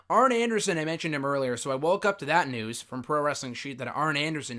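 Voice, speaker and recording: A man with a mid-range voice, hoarse and a little raspy from a recent cold. He talks through wrestling news in a casual, chatty way, filling pauses with ums and sharing his own takes. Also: mid-range voice, fairly bright tone. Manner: chatty, opinionated, frequent um fillers. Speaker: wrestling news channel host. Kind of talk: casual news commentary to camera.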